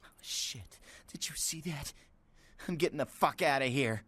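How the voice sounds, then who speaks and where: An actor playing a frightened, evasive lowlife man in a video game, stammering nervously through his street talk. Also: normal speed, fairly bright tone, slightly rough, dry recording. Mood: frightened, haunted. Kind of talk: video game character dialogue.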